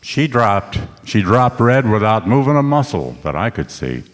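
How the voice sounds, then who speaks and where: A man's voice: on the low side, big pitch swings, moderate pace. A man playing a tough, wry private detective in an old-time radio play, both narrating and talking. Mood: grim. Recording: echoey hall stage recording, music behind.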